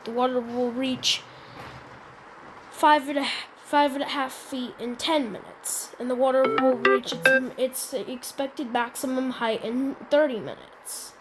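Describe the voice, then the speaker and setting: A woman's voice: high voice, fairly even intonation, measured pace, over loud music, low-quality recording. A woman giving an improvised emergency announcement in an amateur role-play, stumbling often and repeating her phrases. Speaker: announcer in a hobby emergency alert scenario.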